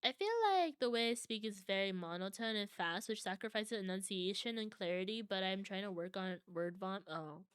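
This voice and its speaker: A woman's voice: mid-range voice, moderate pace, few pauses, a bit bright, clear, resonant tone, no echo, studio quality. A young adult woman streaming from home, chatting casually with viewers and answering their comments.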